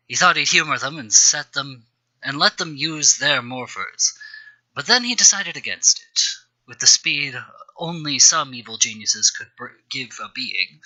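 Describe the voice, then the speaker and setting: A man's voice: mid-range voice, bright, forceful tone, rough recording. A man reading his own amateur story aloud as a host, with wry, self-deprecating asides.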